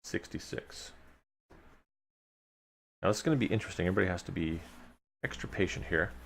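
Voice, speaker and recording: A man's voice: on the low side, gravelly, slightly rough. A man live-streaming a read-aloud of an adventure gamebook, mixing storytelling narration with casual asides to his viewers.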